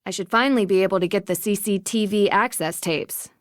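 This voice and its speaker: A woman playing a meticulous, inquisitive young amateur detective in a video game, speaking in a measured, articulate way. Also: medium pitch, no room sound, studio quality, natural voice. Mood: firm, decisive. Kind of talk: scripted video game voice acting.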